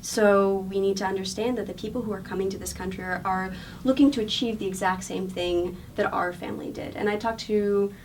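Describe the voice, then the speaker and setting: A woman's voice: medium pitch, fairly even intonation, fairly quick, few pauses, a little mellow, far from the mic, a little hiss or hum. A woman with a non-local accent answering interview questions in an earnest, explanatory way, often repeating words as she thinks. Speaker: guest.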